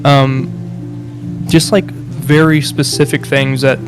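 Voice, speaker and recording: A man's voice: medium pitch, level tone, slow, creaky. Young midwest guy rambling thoughtfully, lots of ums and restarts, music playing behind. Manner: hesitant, many fillers and restarts, laughs often. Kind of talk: casual reflective chat.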